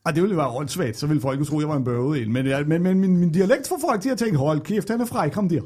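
A man playing a crude, boastful young ladies' man who speaks with a put-on Bornholm dialect and brags in deadpan. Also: a little high, lightly acted. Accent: put-on Bornholm Danish.

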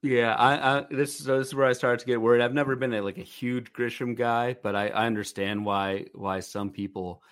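A man's voice: medium pitch, fairly even intonation, fairly quick, few pauses, a bit bright, dry recording, clean studio sound. A man in his thirties co-hosting a podcast, talking through fantasy baseball players casually with lots of fillers and restarts. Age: 30s. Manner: thinking aloud, many fillers and restarts. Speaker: podcast co-host.

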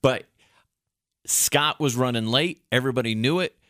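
Male American podcast host, average pitch, casual animated storyteller, dry recording. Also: normal speed, dry recording. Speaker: podcast host, former radio producer.

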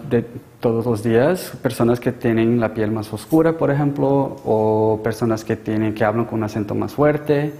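A man expert answering interview questions in a measured way, pausing on filler sounds. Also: mid-range voice, warm tone, big-room sound.

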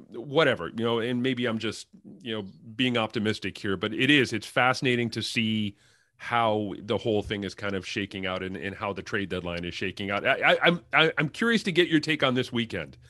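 A man's voice: on the low side, a bit bright, dry recording, clean studio sound. A man hosting a sports podcast in a conversational way, inquisitive and thinking aloud as he builds up questions. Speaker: podcast host.

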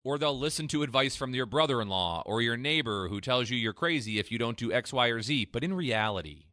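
A man hosting a retirement finance radio show, pitching a free tax analysis and explaining when to claim benefits. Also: animated, brisk, sharp tone, no echo, clean studio sound. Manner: persuasive sales pitch, then explanatory. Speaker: financial advisor radio host.

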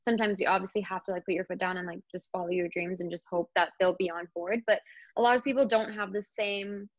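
Young actress just chatting, lots of likes, kinda muffled lo-fi sound. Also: female voice, medium pitch, steady tone, fast talker, dry recording. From India. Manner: chatty, fillers like "like". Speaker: actor guest. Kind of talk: casual chat. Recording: slightly dull, lo-fi sound.

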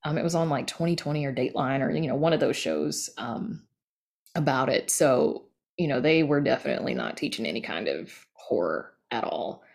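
Low-voiced American woman in conversation. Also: animated, a little fast, gravelly, darkish tone. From East Texas, United States. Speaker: podcast guest, audio drama creator. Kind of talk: casual interview conversation.

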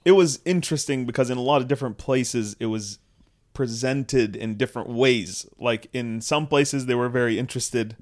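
A man's voice: medium pitch, normal speed, darkish tone. Casual young man telling a story. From Canada. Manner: laughs often, quotes people in anecdotes. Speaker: podcast co-host.

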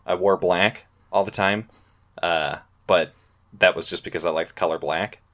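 Um homem apresentando um podcast em conversa descontraída, cheio de hesitações e recomeços. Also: male voice, low voice, fairly even intonation, moderate pace, a bit bright. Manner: rambling, many fillers and restarts. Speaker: podcast host. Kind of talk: casual podcast chat.

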